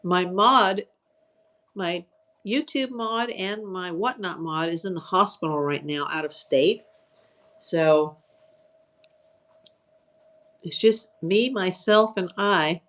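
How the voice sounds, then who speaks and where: A woman hosting a live online auction stream, chatting casually, greeting viewers by name and listing items for sale. Her voice is getting tired and a little hoarse from hours of talking. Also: lowish voice, takes it slowly, pauses often, fairly bright tone. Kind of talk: casual live-selling chat.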